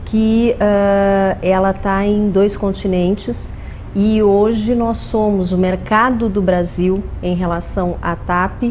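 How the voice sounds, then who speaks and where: A woman's voice: medium pitch, fairly even intonation, slow pace, slight background noise. A woman born in Portugal, working as a regional airline manager, speaking fluently and in a businesslike way as a TV interview guest. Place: TV studio. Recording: dull TV audio.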